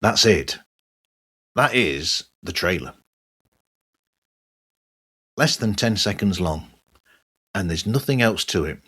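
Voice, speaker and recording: A man hosting a podcast monologue, giving his opinions in a conversational, emphatic way with a husky voice. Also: low-pitched, lively intonation, slow pace, long pauses, pushed and bright. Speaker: podcast host. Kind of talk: podcast monologue, part scripted, reviewing other shows.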